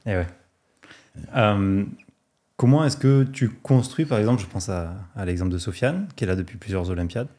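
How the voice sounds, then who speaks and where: A man's voice: lowish voice, moderate pace, warm tone. A man hosting a coaching podcast, introducing the episode and interviewing a guest, speaking straight to his listeners in a friendly way. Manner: friendly, direct address to listeners. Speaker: podcast host.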